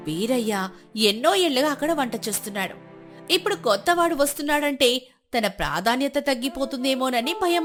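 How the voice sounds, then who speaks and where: A woman narrating a scripted moral story as a storyteller. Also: high voice.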